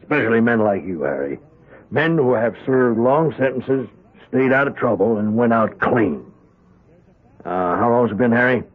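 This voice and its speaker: A man's voice: mid-range voice, animated, moderate pace, slightly rough. An actor playing a paternal but stern prison warden in a radio drama, hearty and impatient in his lecturing. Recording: muffled, tinny old radio broadcast.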